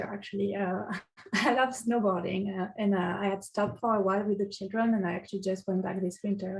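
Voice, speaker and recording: Woman, French accent, middle-aged, creaky mid-range voice, informal and halting meeting introduction. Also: mid-range voice, a little mellow, rough recording. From France. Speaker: city program manager and presenter. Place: online meeting.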